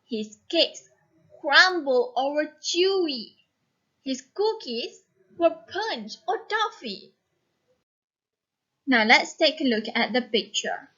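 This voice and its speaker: A woman teacher reading a story aloud and giving instruction in a deliberate, instructive manner. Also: high-pitched, big pitch swings, sharp tone, highs cut off.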